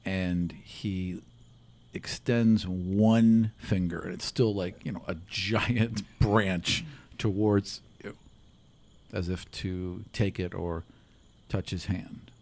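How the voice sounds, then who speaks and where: A man's voice: sits low, fairly even intonation, takes it slowly, a little mellow. Man running a tabletop game. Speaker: game master. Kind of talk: improvised tabletop game narration. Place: room, group around a table. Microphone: table microphone on arm, close.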